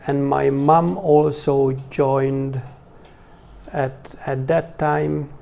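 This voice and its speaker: Hungarian guy in his 40s chatting to a little class, slow and halting, soft mellow tone. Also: medium pitch, little pitch movement, vocal fry. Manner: hesitant, halting delivery with pauses. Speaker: religious teacher. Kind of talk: informal talk to a small class.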